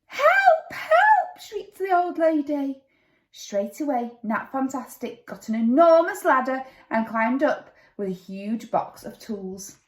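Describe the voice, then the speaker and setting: High, animated woman reading a children's story. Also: measured pace. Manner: dramatic, playful with sound effects. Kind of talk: expressive storybook narration. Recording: echoey room.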